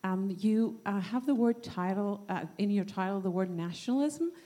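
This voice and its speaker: A woman from Greece putting a spontaneous question to a panel, speaking haltingly as she works out her point. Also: mid-range voice, dark tone. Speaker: audience questioner at a panel discussion.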